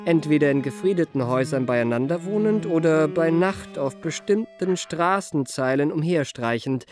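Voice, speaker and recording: Actor as a suave, self-satisfied young confidence trickster. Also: male voice, a little high, a little mellow, close to an everyday voice. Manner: ornate, self-satisfied, mannered formal diction. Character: elegant young male confidence trickster recounting his life. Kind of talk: literary first-person radio play narration. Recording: music behind the voice.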